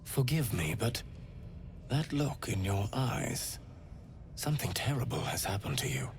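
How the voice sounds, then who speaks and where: An actor playing a serene, fervently devout priest of a pain goddess in a video game, with theatrical, courtly tenderness and a breathy voice that slips into whispers. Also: male voice, mid-range voice, lively intonation, measured pace, a little hiss or hum, lightly acted. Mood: soothing, intimate. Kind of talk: video game character acting.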